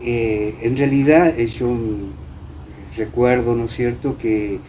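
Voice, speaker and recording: Hesitant older Argentine man, mellow voice. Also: mid-range voice, fairly even intonation. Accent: Argentine, Entre Ríos. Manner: hesitant, pausing often. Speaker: interview guest. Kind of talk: reminiscing in conversation. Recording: clear background noise.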